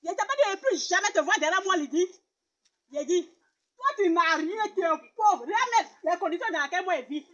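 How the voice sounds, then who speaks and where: A scornful, fiery poor young woman, played by a woman with a very high voice. Her speech often breaks between sobs and laughter. The recording sounds dull and muffled. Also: lively intonation, a little fast, fairly bright tone.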